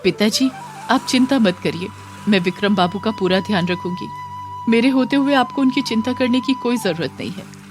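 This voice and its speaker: A woman's voice: fairly high pitch, moderate pace, music in the background, pitched above the performer's own voice, natural voice. A woman voicing a meek, devoted young blind bride in a dramatized moral story, speaking with deferential pleading. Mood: gentle, devoted.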